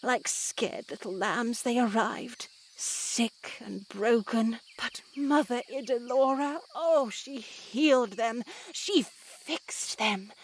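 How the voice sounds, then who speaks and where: A woman playing a pious, motherly yet unsettling nun in a horror game, speaking in a genteel, measured, preachy way. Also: a little high, a little hiss or hum. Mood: reverent, motherly. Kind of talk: scripted visual novel voice acting.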